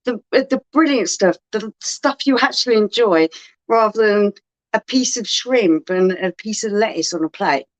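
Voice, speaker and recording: A woman chatting casually on a home livestream, quick and laughing often. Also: medium pitch. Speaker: co-host of a beer livestream. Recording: dull lo-fi livestream audio.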